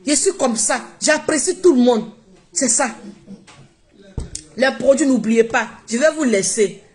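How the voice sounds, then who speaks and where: Woman, non-native French speaker, fairly high voice, emphatic, repetitive, live stream on a phone. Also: lively intonation, unhurried, a little mellow. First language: not French. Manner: emphatic, repeats herself. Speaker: social media live streamer. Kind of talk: casual live-stream monologue.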